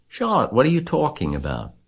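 A man's voice: extremely low pitch, fairly even intonation, moderate pace, vocal fry, breathy edge, heavily acted. A man voicing a desperate young male pig in a storybook, crying out and pleading with urgency. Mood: alarmed.

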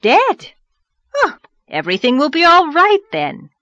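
Woman doing a bossy tiny wife around fifty, chirpy n brisk, high bouncy voice, audiobook, dry sound. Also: expressive, a bit bright, no room sound, muffled recording. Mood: jubilant. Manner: brisk, chirping, self-assured. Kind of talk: audiobook character voice.

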